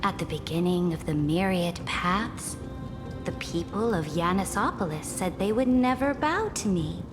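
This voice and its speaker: A woman's voice: fairly low pitch, expressive, unhurried. A woman playing a proud, ruthless conqueror emperor in a video game, speaking in grand, commanding, theatrical declarations. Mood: cold, commanding. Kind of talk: dramatic video game voice acting. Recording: long reverberant hall. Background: clear music.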